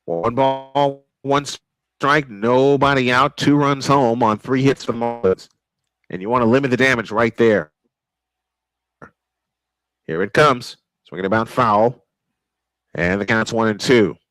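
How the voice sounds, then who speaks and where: A man calling live baseball play-by-play, excitable and prone to repeating his points. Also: medium pitch, no room sound, rough recording. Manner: excitable, repeats points, quick calls of action. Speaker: play-by-play announcer. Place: home sports studio.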